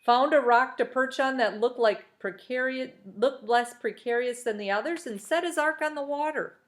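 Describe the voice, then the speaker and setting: A woman reads a children's novel aloud in an expressive storytelling style, shaping the phrases with the drama of the tale. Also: sits high, normal speed. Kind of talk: expressive storybook narration with brief asides to listeners.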